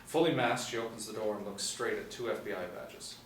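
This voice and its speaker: A young man narrates screenplay directions at a table read. His voice sits slightly low, picked up from a distance in an echoey room. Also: brisk. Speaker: narrator reading stage directions. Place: small meeting room, seated in a row. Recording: echoey room, distant mic, faint noise.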